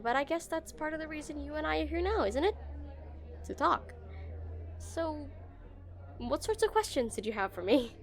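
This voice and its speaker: A woman's voice: high voice, expressive, normal speed, long pauses. A woman playing an awkward, shy female game developer on a dinner date in a romantic audio roleplay, flustered and hesitant. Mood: shy, friendly. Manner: flustered, hesitant, stammering, giggly.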